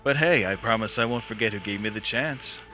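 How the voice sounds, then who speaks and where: Man playing a sharp, cocky young lieutenant. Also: lowish voice, brisk, soft background music. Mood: reassuring, cocky. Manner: cocky, self-assured, formal with superiors. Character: confident, insubordinate noble lieutenant in his mid-twenties. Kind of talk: sci-fi radio play acting.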